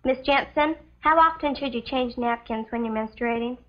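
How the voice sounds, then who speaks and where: An actress plays a young American schoolgirl in a vintage educational film. She has a high voice and asks questions politely and carefully. The audio is muffled like an old soundtrack. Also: moderate pace, close to an everyday voice. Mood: polite curiosity.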